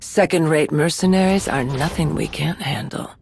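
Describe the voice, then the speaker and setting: Game casting: imperious, formal warrior, very low, creaky, clipped delivery. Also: female voice, moderate pace, a little mellow, no room sound, heavily acted. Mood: assured. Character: cool, formidable female warrior, formal and commanding. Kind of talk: video game voice acting.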